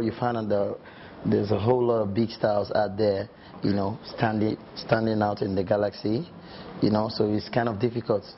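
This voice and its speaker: A young Nigerian man giving casual interview answers, often adding "you know" as he goes. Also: on the low side. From Delta State, Nigeria. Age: young adult. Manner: frequent "you know" asides. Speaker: singer and former rapper being interviewed. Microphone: clip-on lapel mic. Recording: dull sound with light background noise.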